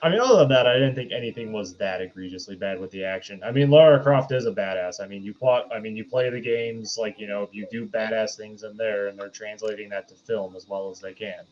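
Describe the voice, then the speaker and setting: A man co-hosting a casual film podcast, measured and hedging as he offers a contrary view. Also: on the low side, a little mellow, dull audio. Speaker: podcast co-host reviewing films. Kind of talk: casual chat, film review.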